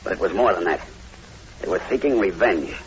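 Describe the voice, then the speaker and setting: Grave, commanding plantation boss, muffled. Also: male voice, deep voice, lively intonation, brisk. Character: tense, commanding American plantation owner, grimly believing in zombies. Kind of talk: old radio horror drama acting. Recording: dull lo-fi old radio recording, hiss.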